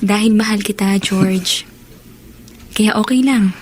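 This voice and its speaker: A woman's voice: medium pitch, fairly even intonation, extremely slow. A woman with a Filipino accent acting as a loving, patient girlfriend in a radio drama. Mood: affectionate, understanding. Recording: faint background ambience noise.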